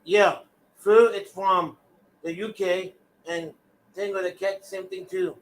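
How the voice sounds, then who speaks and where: A man's voice: high-pitched, fairly even intonation, slow, poor audio. A man chatting offhand on a livestream, reading out names from the chat.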